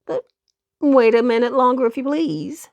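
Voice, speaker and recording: A woman's voice: fairly high pitch, animated, moderate pace, darkish tone, dry recording, dull audio. A woman narrating a children's storybook expressively, speaking directly to young listeners with dramatic emphasis.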